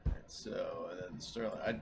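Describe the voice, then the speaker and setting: A man's voice: sits low, normal speed. A man chatting casually as a player in a tabletop game, with some noise on his mic.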